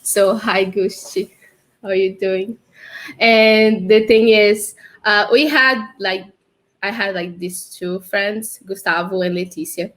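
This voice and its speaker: A woman's voice: medium pitch, steady tone, slow pace, fairly bright tone. A young woman from Brazil with a Brazilian Portuguese accent, chatting casually as a guest on a livestream interview over a video call, laughing often. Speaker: guest, developer advocate.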